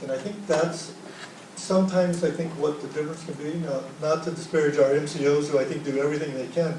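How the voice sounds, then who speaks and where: A man's voice: fairly high pitch, a little mellow. A man presenting at a workshop, leading an open group discussion and often restarting his sentences. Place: conference room, echoey. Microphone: room mic from a distance. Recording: echoey room, distant mic, faint noise.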